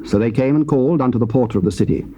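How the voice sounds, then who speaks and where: A man narrating scripture in a measured, solemn reading. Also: mid-range voice, background noise.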